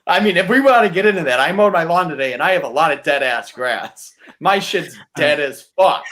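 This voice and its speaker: A man's voice: a little high, lively intonation, a little fast, a bit bright. Blunt, sweary man in casual debate. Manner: blunt, profane, argumentative. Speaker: podcast contestant pitching movie reboots.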